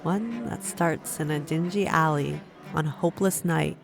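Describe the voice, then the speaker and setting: A woman's voice: low voice, normal speed, creaky, music in the background. A woman acting a guarded, reflective young storyteller in a fantasy audio drama. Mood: guarded, wistful. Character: woman tavern storyteller, twenties, recalling a hard youth.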